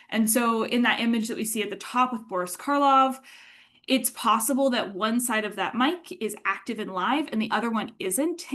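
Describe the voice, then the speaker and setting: A woman's voice: fairly high pitch, fairly bright tone. A woman giving an informal, explanatory lecture as the presenter.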